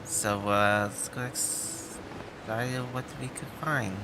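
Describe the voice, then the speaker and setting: A man livestreaming a game with casual commentary, hesitant and halting, mumbling at times as he gathers his words. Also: lowish voice, fairly even intonation, loud music behind the voice.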